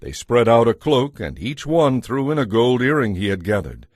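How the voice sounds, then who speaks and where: A man narrating a scripted audio Bible as a measured, solemn storyteller. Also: medium pitch, big pitch swings, darkish tone, no room sound, clean studio sound.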